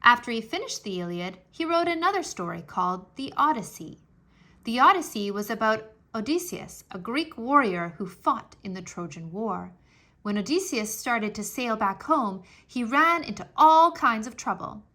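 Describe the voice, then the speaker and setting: High-pitched woman reading a children's storybook. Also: expressive, normal speed, bright, forceful tone. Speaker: narrator reading a children's history book aloud. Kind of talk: expressive scripted storybook narration.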